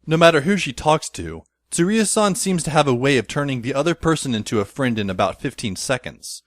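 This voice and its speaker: A man playing a wry high school boy who narrates an audio drama in the first person, musing as he goes. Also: sing-song, a little fast, no echo, lightly acted.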